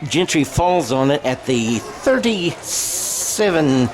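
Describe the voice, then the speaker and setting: A man's voice: a little high, very animated, slow. An American man doing live radio-style football play-by-play in a conversational way, thinking aloud and correcting himself as he goes. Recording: noisy outdoor game sound.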